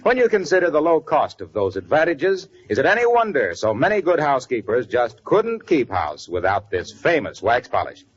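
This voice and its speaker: A man's voice: mid-range voice, wildly swooping pitch, normal speed, hardly any pauses, breathy edge. A male announcer reading scripted 1940s radio copy and sponsor ads in a polished, salesmanlike delivery. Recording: muffled vintage radio recording.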